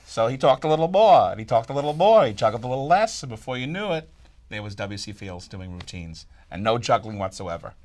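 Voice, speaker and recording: A man chatting casually as an interviewee, telling stories in a joking, animated way. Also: medium pitch, a little fast. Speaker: vaudeville performer, interviewee. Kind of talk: casual group interview chat.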